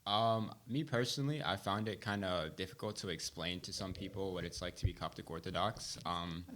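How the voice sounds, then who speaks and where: Young host, very low voice, relaxed, lots of ums. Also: male voice, a little fast, a little hiss or hum. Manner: laid-back, hesitant with fillers. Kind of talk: casual conversation.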